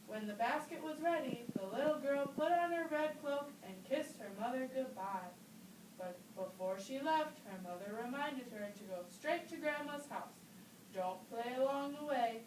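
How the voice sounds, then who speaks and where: A woman reads scripted narration for a children's puppet show. Her voice is high. She is recorded at a distance in a roomy space with faint noise.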